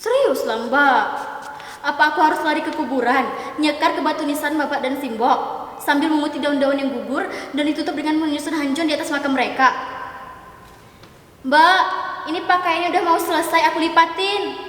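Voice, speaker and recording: A woman's voice: high voice, brisk, a bit bright, distant mic. A woman playing a headstrong young woman who pushes her older sister about marrying, in a staged dramatic reading. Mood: insistent. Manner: insistent, teasing, emotional. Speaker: dramatic reader. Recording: echoey hall with long reverb.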